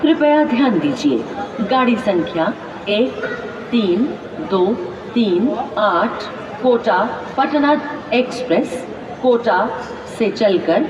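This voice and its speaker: Very slow Indian woman on station PA. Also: fairly high pitch, lively intonation, recorded from a distance. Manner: formal, measured, segmented phrasing. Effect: public address loudspeaker. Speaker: railway station announcer. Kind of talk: pre-recorded scripted public announcement, pieced together from phrases. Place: busy railway station, loudspeaker heard from afar. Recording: echoey, dull station PA with crowd noise.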